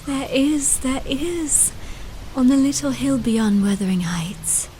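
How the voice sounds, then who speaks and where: A woman plays a dying young woman in a radio drama, feverish and wistful. Her voice is breathy and whispery, slow and dreamy, over noisy ambience. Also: sits high, lively intonation, mellow. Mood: feverish longing.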